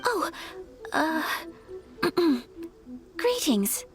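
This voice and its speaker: A refined young noblewoman from a video game, with a high, gentle voice. She is polite, formal and shyly hesitant, speaking softly over faint music. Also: big pitch swings, extremely slow, heavily acted. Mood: shy, flustered. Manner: soft-spoken, formal, hesitant. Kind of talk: earnest video game acting.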